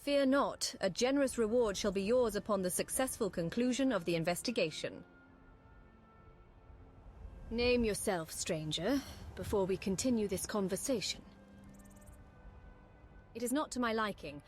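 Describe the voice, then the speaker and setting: A woman's voice: medium pitch, normal speed, long pauses, a little hiss or hum, close to an everyday voice. A woman voicing a formal, devoted mage in a fantasy video game, with courteous, archaic phrasing. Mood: courteous, resolute. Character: formal, devoted female mage of a secretive college. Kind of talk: scripted fantasy game dialogue.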